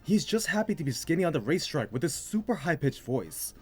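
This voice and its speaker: Male video host, fairly high lively voice, slightly husky, scripted commentary, music behind. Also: fairly quick.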